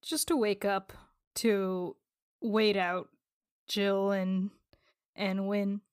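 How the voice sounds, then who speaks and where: A woman's voice: mid-range voice, flat delivery, drawn-out words, clean tone. A woman chatting casually as a player in a tabletop role-playing game, describing her character's actions.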